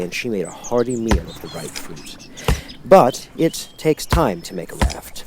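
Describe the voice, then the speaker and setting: A man reading a children's storybook aloud as an expressive audiobook narrator. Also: on the low side, noisy background.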